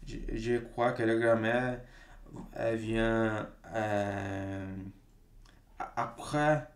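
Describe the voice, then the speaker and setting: A man's voice: fairly low pitch, fairly even intonation, very slow. Man, hesitant, many fillers, echoey room. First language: Portuguese. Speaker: language-learning video host. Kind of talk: casual talk to camera.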